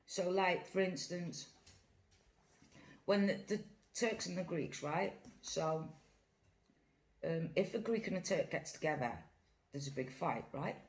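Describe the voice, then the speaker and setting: A middle-aged vlogger from Britain with a gravelly, creaky voice, talking bluntly straight to a camera set in front of them on the table. They are seated in a small indoor room and tell their stories in an animated way, acting out each side of the conversation. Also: mid-range voice, a little slow, pauses often, poor audio. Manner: blunt, animated storytelling, acts out both sides of conversations. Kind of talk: casual chat while eating.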